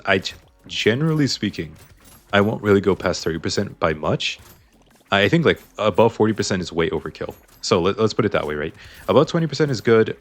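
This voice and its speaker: A man casually commenting on a video game as he plays, explaining his choices, his voice a little strained. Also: low voice. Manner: chatty, explains choices while playing. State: slightly strained voice. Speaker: gaming YouTuber.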